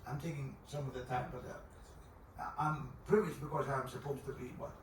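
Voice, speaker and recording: Man, raised voice, animated and jokey; far-off, very reverberant hall with room noise. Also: mid-range voice, a little fast. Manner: animated, jokey, laughs easily. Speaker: panel speaker. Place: conference room. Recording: very echoey conference hall from far off, noisy.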